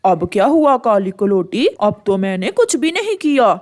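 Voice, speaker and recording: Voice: medium pitch, very animated, normal speed, lightly acted. An actor voicing an exasperated, defensive grown male bird in a cartoon, grumbling and protesting. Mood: irritated. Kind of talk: cartoon dialogue.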